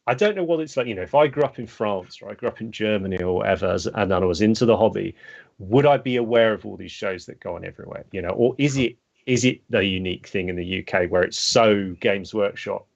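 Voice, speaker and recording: A man's voice: fairly low pitch, fast, creaky. A man from the UK hosting a podcast, chatting casually and rambling with many fillers. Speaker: podcast host. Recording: dull lo-fi remote audio.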